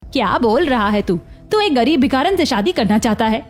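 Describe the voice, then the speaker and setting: A middle-aged Indian mother in an animated family story, acted by a woman. Her voice is high and dramatic, stern yet yielding, with music playing behind it. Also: normal speed. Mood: disapproving.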